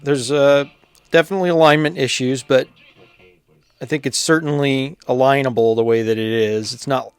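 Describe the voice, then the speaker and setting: A man's voice: mid-range voice, steady tone, clean tone, dry recording, soft background music. Plainspoken man talking through a repair. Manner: plainspoken, thinking aloud with pauses. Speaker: hobbyist radio repairer presenting his channel. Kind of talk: casual unscripted repair walkthrough.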